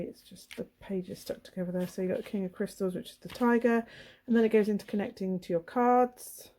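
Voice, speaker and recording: A woman with laryngitis, her voice hoarse, husky and throaty, chatting casually and apologetically as she flips through a tarot deck for her channel. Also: mid-range voice, mellow.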